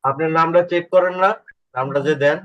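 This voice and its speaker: A man's voice: a little high, steady tone, fairly quick, highs cut off. A man giving casual, directive advice in an informal lesson. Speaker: adviser in an online session. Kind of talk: casual instructional talk.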